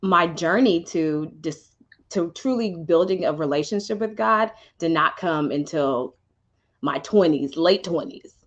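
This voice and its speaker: A woman guest recounts her faith journey in a low voice, thinking aloud with frequent ums and restarts. She is from Houston, Texas. The audio sounds muffled and lo-fi. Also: unhurried. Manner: reflective, many ums and restarts. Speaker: podcast guest. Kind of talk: personal testimony in conversation.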